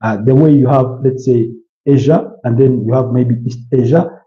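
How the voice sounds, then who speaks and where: A man's voice: medium pitch, steady tone, normal speed. A man with a Ugandan accent hosting a casual football preview livestream, chatting with viewers in a hesitant way and repeating phrases. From Kampala, Uganda. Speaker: livestream host. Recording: dull, roomy, echoey sound.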